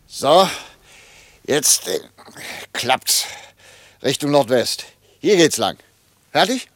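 An actor playing a bossy, nervous and irritable vagabond who bickers and gives orders in a radio play. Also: male voice, medium pitch, very animated, very slow, fairly bright tone, dry recording, exaggerated voice. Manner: bickering, commanding, agitated. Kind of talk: German radio play acting.

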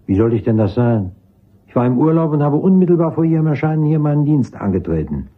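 An actor playing a brisk, authoritative army doctor in a German radio drama, speaking formally and didactically. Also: male voice, a little high, sing-song, narrow, tinny audio. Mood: angry, reproachful. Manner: didactic, formal, clipped military address. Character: brisk, authoritative male army psychiatrist with major's rank.

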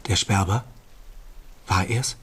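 An actor playing a calm, enigmatic young mentor in a radio drama, composed and probing. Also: male voice, deep voice, takes it slowly, breathy edge, exaggerated voice. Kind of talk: German literary radio drama acting. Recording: distant scene with rain and thunder.

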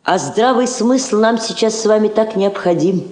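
An actress playing an elderly Soviet woman ambassador in a 1960s radio drama, courteous and measured, shrewd and firm beneath subtle irony. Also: medium pitch, lively intonation, darkish tone, lightly acted. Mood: earnest, composed. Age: older. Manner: measured, diplomatic, subtly ironic. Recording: roomy vintage drama recording with music.

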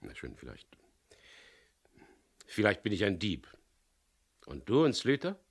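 An actor playing a terse, determined boat captain turned thief in a German radio crime drama. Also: male voice, on the low side, wildly swooping pitch, measured pace, vocal fry, breathy edge, heavily acted. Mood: guarded, challenging. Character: gruff, stubborn male boat captain and diver turned thief. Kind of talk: German radio crime drama acting with first-person narration. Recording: 1970s radio play production.

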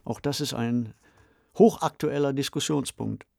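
A man co-hosting a podcast discussion, speaking in a measured, formal, explanatory manner. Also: medium pitch, vocal fry, dark tone, no echo, clean studio sound. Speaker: podcast co-host. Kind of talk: discussion of fiction and ideas.

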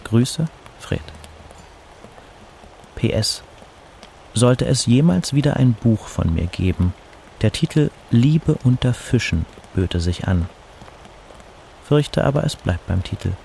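A man's voice: mid-range voice, animated, slow pace, creaky, pauses often, warm tone, a little hiss or hum. An actor playing a burnt-out, melancholy male poet who speaks in a resigned, dry, hesitant way in a radio drama. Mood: quiet, reflective. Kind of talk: naturalistic radio drama dialogue and diary narration.

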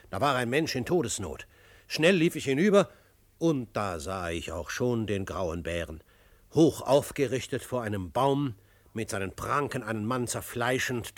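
A brave, composed adventurer telling his frontier story for a radio drama. His voice is fairly low and very dry, with measured literary phrasing. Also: no echo. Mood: urgent. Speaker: first-person narrator. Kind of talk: dramatic scripted narration.

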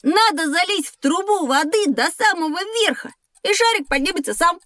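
Casting: eager schoolboy, high voice, dry studio sound, skeptical streak, for educational radio play. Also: female voice, expressive, normal speed, fairly bright tone, no echo. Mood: eager, grateful. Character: curious, excitable schoolboy Petya, eager and skeptical. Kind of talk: scripted educational audio drama.

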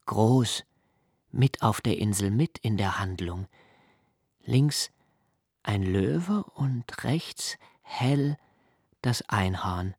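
Melancholy diarist, slow halting delivery, intimate, pensive, mid-pitched, literary radio play monologue. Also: male voice, slightly rough, dry recording, clean studio sound, heavily acted. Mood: hushed, contemplative. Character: reflective, melancholy male diarist recalling love and childhood.

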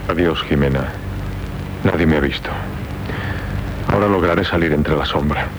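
A man's voice: rumbling low, moderate pace, noisy background. An actor playing a lovelorn, guilt-ridden young nobleman warrior in a 1970s radio play, speaking solemnly and earnestly with a Castilian accent. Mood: sombre, remorseful. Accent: Castilian Spanish. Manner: solemn, earnest, theatrical delivery. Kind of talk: 1970s Spanish radio theatre acting. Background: prominent music.

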